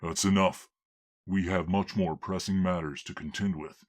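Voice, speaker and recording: An actor playing a stern, weary lord who keeps order among quarrelling officials, speaking slowly and with authority in an audio drama. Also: male voice, low-pitched, steady tone. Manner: slow, measured, commanding. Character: stern older male lord, weary peacemaker.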